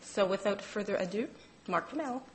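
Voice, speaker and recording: A woman introducing a guest speaker at an event in a measured, formal way. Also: medium pitch, heavy background noise.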